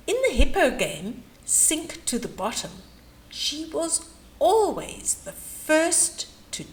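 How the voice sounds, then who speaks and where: A woman reading a children's bedtime story aloud, adding chatty asides and questions for young listeners. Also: on the high side.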